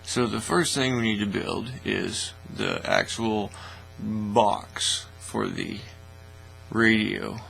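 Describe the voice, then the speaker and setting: A man hosting a casual hands-on hobby demonstration in a folksy, informal, unhurried way, recorded in a small indoor workshop studio. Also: lowish voice, vocal fry, soft background music, low-quality recording. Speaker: host of a hobby workbench channel. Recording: steady mains hum, faint noise.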